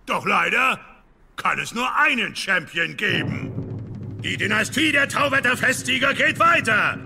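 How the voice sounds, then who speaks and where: A proud Viking from a cartoon audio play. His voice is very high and swings widely as he declaims boomingly. Music plays loudly behind him. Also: expressive, measured pace, gravelly, exaggerated voice. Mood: solemn, proud. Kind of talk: animated series audio play dialogue. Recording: dialogue over loud music.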